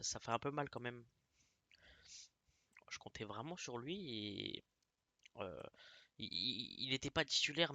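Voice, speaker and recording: A man from France with a cold talks through a football management game in a chatty, filler-heavy way. His voice is very bright and forward, and it sits in a dry, close room. He drops into low mutters while he clicks through menus. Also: no room sound. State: has a cold. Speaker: gaming YouTuber. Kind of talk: casual gameplay commentary.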